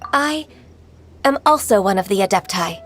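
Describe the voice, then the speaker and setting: A woman playing a gentle, earnest and hesitant young female adeptus, polite and formal, in an anime video game. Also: mid-range voice, animated, moderate pace, faint music behind, lightly acted.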